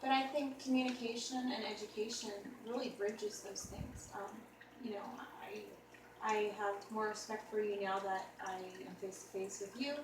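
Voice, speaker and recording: American woman, mid pitch, thoughtful, earnest host, far from mic, echoey room, noisy background. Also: fairly even intonation, normal speed. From United States. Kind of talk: casual conversation.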